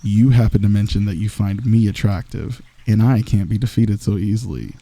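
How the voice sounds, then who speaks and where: The cocky villain of a late-night romance audio roleplay, cornering an enemy to tease softly in a very dark, creaky voice right by the ear a man acting it out. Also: fairly low pitch, normal speed. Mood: smug teasing. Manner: soft, intimate, teasing, cocky. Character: smug, teasing, flirtatious male villain wooing an enemy. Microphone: close microphone.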